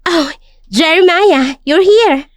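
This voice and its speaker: A woman's voice: extremely high pitch, takes it slowly, no echo, pitched above the performer's own voice. A woman voicing a cool, firm young company owner in an audio drama. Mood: delighted.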